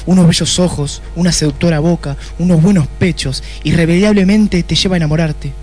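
Greedy, melodramatic detective boss, played by a man, Argentine, higher-pitched, florid one moment and crude the next, comic radio play. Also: steady tone, brisk, darkish tone, faint music behind, natural voice. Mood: brooding, pompous. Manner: pompous, florid speeches mixed with crude slang.

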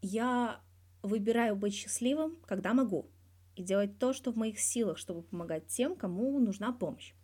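Woman voicing a wry young male athlete, mellow. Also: mid-range voice, normal speed, husky, pitched above the performer's own voice, natural voice. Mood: blunt, wry. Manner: guarded yet blunt, dryly teasing, swears freely. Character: candid, wry young male star athlete being interviewed.

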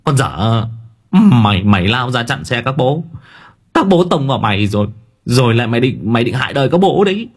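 A man's voice: on the high side, big pitch swings, normal speed, fairly bright tone, slightly rough, pitched above the performer's own voice, full character voice. A man voicing a chubby, cowardly young village man who squeals and panics. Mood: blustering.

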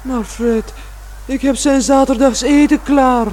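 A woman's voice: sits high, fairly even intonation, takes it slowly, mellow, slight background noise. An actress playing a bewildered, distraught middle-aged mother in a radio drama, pleading and repeating herself. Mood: dazed, shocked. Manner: pleading, repetitive.

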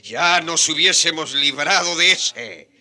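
A cruel colonial landholder, with a very sharp, forceful voice. He speaks slowly, mocking and scheming, like a radio drama villain. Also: fairly high pitch, expressive, exaggerated voice. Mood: gloating, scheming. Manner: slow, mocking, menacing.

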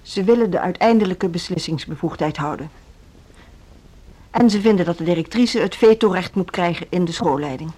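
An actress in a Dutch radio play playing a mild, conciliatory middle-aged school director, measured and husky, with a Netherlands accent. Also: female voice, on the low side, dark tone. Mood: defensive, measured. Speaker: radio drama actor.

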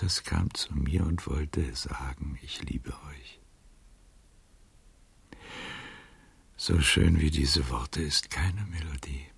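An actor playing a frail, proud, aging gallant in a radio drama, musing theatrically in a husky, gravelly voice. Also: male voice, a bass voice, fairly bright tone, heavily acted. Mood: dreamy, awed. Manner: slow, theatrical, musing to himself. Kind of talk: literary radio drama acting.

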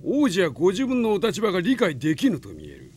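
Haughty, wildly expressive enemy captain. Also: male voice, high voice, a little fast, a little hiss or hum. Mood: angry, threatening. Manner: haughty, mockingly polite, contemptuous. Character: arrogant, sneering enemy army captain, former knight. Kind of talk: Japanese radio drama acting.

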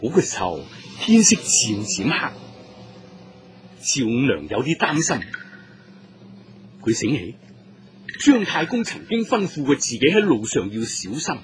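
A man's voice: medium pitch, slight background noise. A man narrating a story in Cantonese as a storyteller, with dramatic, suspenseful delivery.

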